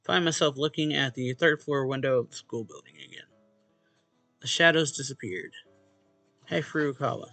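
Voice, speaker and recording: Male let's play host, casual visual novel read-aloud, mid-pitched with some fry, game music underneath. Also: moderate pace, long pauses, pushed and bright, dry recording. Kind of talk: casual read-aloud of game dialogue and first-person narration.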